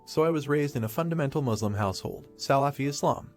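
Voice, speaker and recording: A male narrator reads a first-person online story at a rapid pace. His pitch sits in an ordinary middle range, and faint background music runs beneath his voice. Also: lively intonation. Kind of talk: reading a reddit story aloud.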